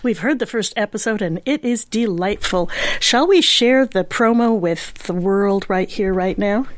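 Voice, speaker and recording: A woman's voice: medium pitch, expressive, unhurried, no echo. A woman speaking as the co-host of an interview show. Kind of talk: interview hosting.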